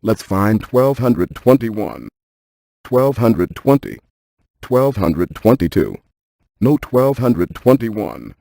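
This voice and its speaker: An actor playing a brainy, cautious paranormal scientist in a video game, speaking in a deadpan, precise, technical manner. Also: male voice, mid-range voice, slow, creaky, no room sound. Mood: dry, focused. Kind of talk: scripted video game character lines.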